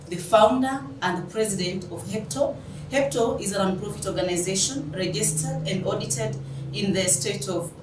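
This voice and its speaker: Female show host, medium pitch, polite formal delivery, echoing room with faint noise. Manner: formal, courteous, speaks in proverbs. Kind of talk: interview hosting. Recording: roomy, distant mic, faint noise.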